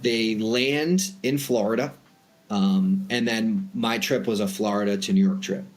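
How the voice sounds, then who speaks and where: A man's voice: lowish voice, unhurried. A man with a Boston accent explaining his industry conversationally and emphatically, as an expert guest in an interview. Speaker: private jet charter broker, interview guest.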